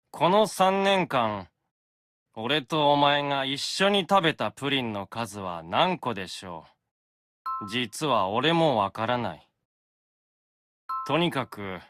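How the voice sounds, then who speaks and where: A man acts an affectionate young game character. He has a crisp, very bright voice at a middling male pitch. He speaks straightforwardly, with earnest feeling. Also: natural voice. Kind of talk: game character message voice acting.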